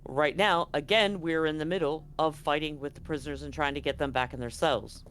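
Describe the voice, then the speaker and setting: A man's voice: a little high, steady tone, a little fast, a bit bright, natural voice. A man voicing a harried, defiant warden of a space station prison in a tabletop game, curt and insistent, with some background noise. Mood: impatient.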